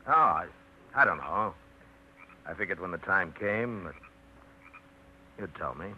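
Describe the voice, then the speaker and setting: An actor in a 1950s radio play, playing a blunt, worldly man who talks in rough colloquial phrases. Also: low-pitched, expressive, measured pace, bright, forceful tone, heavily acted. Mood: breezy reminiscing. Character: worldly, rough-edged man teaching travel French. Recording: muffled, noisy 1950s radio recording.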